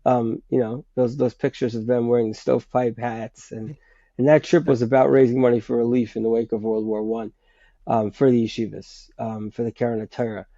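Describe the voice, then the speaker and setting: A man with a sinus infection explaining history in a conversational way, pausing often and restarting his sentences. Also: mid-range voice, level tone, mellow, no echo. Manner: hesitant, many restarts and fillers. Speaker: guest writer. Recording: dull, lo-fi sound.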